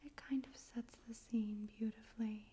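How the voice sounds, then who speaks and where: A woman speaking in a soft, breathy whisper, gently and carefully, in an ASMR style for poetry reading. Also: on the high side, steady tone, moderate pace. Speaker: ASMR host and poetry reader. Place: room in a home in daytime.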